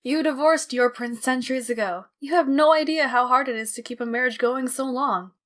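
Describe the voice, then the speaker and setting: Woman playing a loud, indignant high-voiced wife. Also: a little fast. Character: indignant, haughty wife, loudly aggrieved. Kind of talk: comic dub voice acting.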